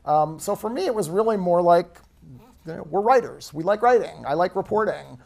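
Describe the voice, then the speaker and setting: A man, an author, chatting at a bookstore event, self-deprecating and relaxed, with plenty of fillers. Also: high voice, moderate pace, gravelly. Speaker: author being interviewed. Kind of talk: casual conversation at a book event.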